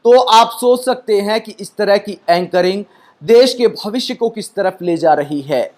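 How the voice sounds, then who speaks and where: A man's voice: sits high, a little slow, darkish tone. A man presenting critical news commentary, speaking emphatically with rhetorical questions and repeated phrasing.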